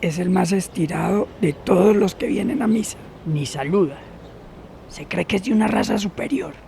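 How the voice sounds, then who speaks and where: A high, creaky-voiced gatekeeper, shady and mocking. He drawls slang slowly in a radio drama, over faint outdoor noise. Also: a little mellow. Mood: gloating, sly. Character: gruff, shady, mocking seminary gatekeeper, a man. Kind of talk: audio drama acting.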